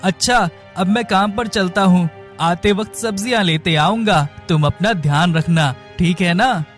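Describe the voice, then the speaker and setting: Man playing an earnest young husband, higher voice. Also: measured pace, faint music behind. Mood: apologetic, caring. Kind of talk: animated moral story dialogue.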